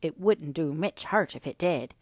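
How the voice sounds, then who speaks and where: A woman voicing a rough, uneducated rustic young man with a halting, bashful manner in a novel reading. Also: low-pitched, expressive, no room sound, studio quality. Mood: awkward pride.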